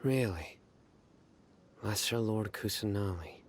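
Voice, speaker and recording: Low, stern, formal investigator. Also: male voice, background noise. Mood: skeptical, measured. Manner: serious, formal, deliberate. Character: stern, vigilant young male investigator, cool and measured. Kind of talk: English anime game dub.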